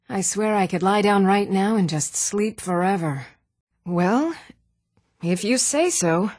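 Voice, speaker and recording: High-voiced, earnest fantasy game townswoman. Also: no echo, studio quality. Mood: weary, resigned. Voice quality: clear, even-toned. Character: even-toned young Nord townswoman, earnest and polite. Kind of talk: video game character voice lines.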